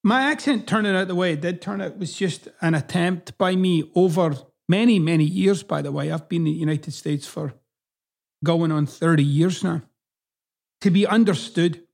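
Blunt Scottish-American man, gravelly. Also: fairly high pitch, normal speed, a little mellow. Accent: Scottish, partly Americanized. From Scotland. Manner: blunt, swears freely, emphatic. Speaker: podcast host. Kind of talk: casual monologue.

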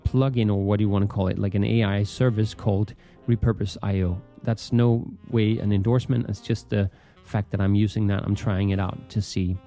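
Creaky, mellow Danish man hosting a podcast. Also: lowish voice, steady tone, fairly quick, few pauses, soft background music. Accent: Danish with American leanings. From Denmark. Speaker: podcast host. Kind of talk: casual solo podcast introduction.

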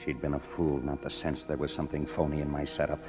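An actor playing a cynical, self-reproaching young screenwriter, wry and hard-boiled, in a 1950s radio drama. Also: male voice, rumbling low, monotone, fast talker. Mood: brooding, wry. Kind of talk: 1950s radio drama, first-person narration and dialogue. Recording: muffled old radio broadcast with music.

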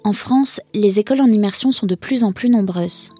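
A woman reading a scripted news narration in a measured, informative manner. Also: mid-range voice, warm tone, husky. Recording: voice-over with faint music.

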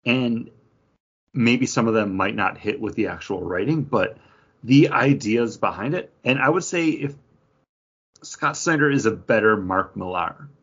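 Male podcast co-host, low and raspy register, rambling conversational review style, dull lo-fi audio. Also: lively intonation, unhurried, fairly bright tone. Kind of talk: casual chat, book review.

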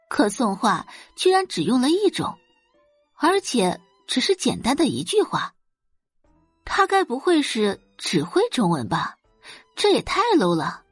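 A woman narrates a serialized audio novel with expressive, lively intonation. Her voice is husky and the recording dry, with faint music underneath. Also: medium pitch, no room sound. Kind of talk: scripted audio-drama narration.

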